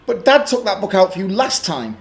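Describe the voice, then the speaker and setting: A man voicing a sensible older brother in a storybook, calm and reasoning. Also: sits high, brisk, voice pushed up. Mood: patient. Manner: calm, reasoning, gently shushing. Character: patient older brother, young boy, sensible.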